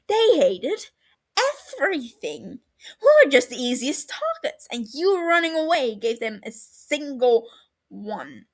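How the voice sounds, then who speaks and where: A woman voicing a bitter, wounded younger brother in an audio story, heated and scornful, breaking into breathy hurt. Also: sits high, big pitch swings, measured pace, heavily acted. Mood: mocking, bitter.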